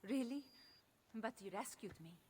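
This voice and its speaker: An actress playing a gentle, devoted wife in a video game drama. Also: female voice, on the high side, animated, moderate pace, slight background noise, close to an everyday voice. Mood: tender.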